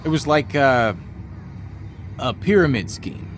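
Cynical con man, animated delivery. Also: medium pitch, slow pace, a little hiss or hum. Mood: smug. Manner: dry, sardonic, profane. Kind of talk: video game dialogue acting.

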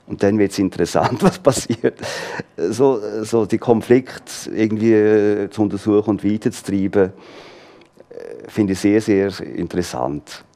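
A man in his fifties with a Swiss German accent, a guest in a studio interview, relaxed and laughing often as he thinks aloud. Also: on the low side, measured pace. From born in London, raised in Graz and Olten. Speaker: author guest. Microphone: lapel.

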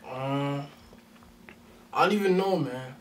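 A man's voice: a little high, takes it slowly, recorded from a distance. A young man, a rapper, chatting casually in an interview, full of street slang and repeated "you know what I'm saying" tags. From Detroit, raised in Durham Region, Ontario. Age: young adult. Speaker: rapper guest. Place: small studio room, seated on a couch.

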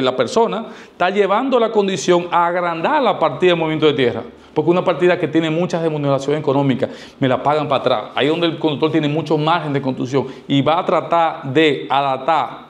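Guy teaching to camera, voice a bit on the high side, really emphatic, keeps asking and repeating to drive it home. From Dominican Republic. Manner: emphatic, didactic, with rhetorical questions and repetition. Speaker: architect giving advice. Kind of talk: explanatory talk to camera. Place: small indoor studio, seated. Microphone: lavalier clipped to shirt.

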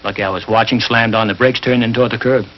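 A man's voice: on the low side, fairly even intonation, quick pace, close to an everyday voice. An American actor in his thirties playing a glib, defensive truck driver in a 1950s police drama, talking in a casual, chatty way. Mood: glib, explaining. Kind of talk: 1950s television drama acting. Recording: dull, slightly noisy 1950s film soundtrack.

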